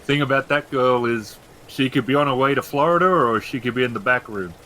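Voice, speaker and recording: A man acts as game master for a tabletop roleplaying session. His voice is bright and forceful at a medium pitch, conversational in delivery, with faint noise in the background. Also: brisk.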